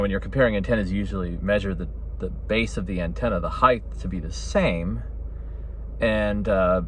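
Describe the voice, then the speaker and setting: A man's voice: deep voice, slight background noise. A middle-aged man giving a casual, rambling opinion talk as a hobbyist, correcting himself and checking in with "right?". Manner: rambling, self-correcting, often adds "right?" and "you know". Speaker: hobbyist radio vlogger.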